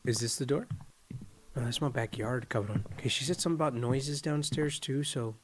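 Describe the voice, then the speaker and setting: A man's voice: medium pitch, brisk, music in the background. A man streaming a horror game, trash-talking the characters with profane jokes and dropping Spanish slang into his English. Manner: joking, profane trash talk with the game's characters. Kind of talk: casual gameplay commentary.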